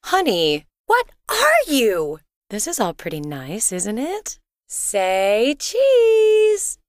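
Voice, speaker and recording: An actress playing a loving, teasing suburban wife and mother in a video game, expressive and gently exasperated. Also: fairly high pitch, very slow, dry recording, clean studio sound, full character voice. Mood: concerned, chiding. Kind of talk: cartoonish game dialogue, affectionate chiding.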